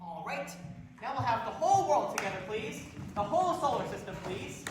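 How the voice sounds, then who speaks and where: A woman's voice: a little high, brisk, voice pushed down, natural voice. A woman voicing a tour guide pointing out sights along a train trip. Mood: announcing. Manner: announcing, lively. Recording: echoey, distant, noisy old recording.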